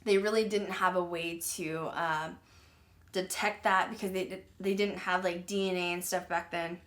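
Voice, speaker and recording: Woman podcast host, lowish voice, rambling filler-heavy chat, uneasy, throat-clearing and losing her voice. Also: steady tone, normal speed, a bit bright. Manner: uneasy and apologetic about the grim subject. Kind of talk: casual true-crime retelling to viewers.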